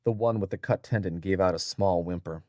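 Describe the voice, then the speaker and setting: Male story narrator, deep and solemn, close dry recording over faint music. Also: a little fast, darkish tone, no room sound. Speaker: audiobook narrator. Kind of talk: dramatic scripted story narration.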